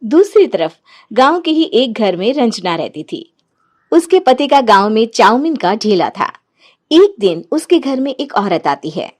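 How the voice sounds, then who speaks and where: A woman narrating a scripted animated moral story as a storyteller. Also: fairly high pitch, a little slow.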